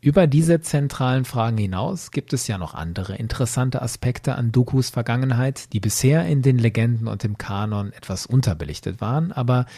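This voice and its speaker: A man's voice: mid-range voice, fairly quick, few pauses, warm tone, slightly rough, no echo. A man hosting a podcast, explaining in a didactic way with emphatic phrasing. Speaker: podcast host.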